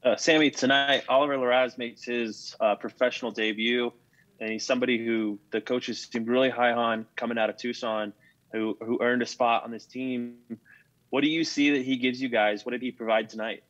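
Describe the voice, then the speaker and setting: A man's voice: mid-range voice, fairly even intonation, moderate pace, a bit bright. A man, a sports radio reporter, asking questions at a postgame press conference. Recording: dull, lo-fi remote audio.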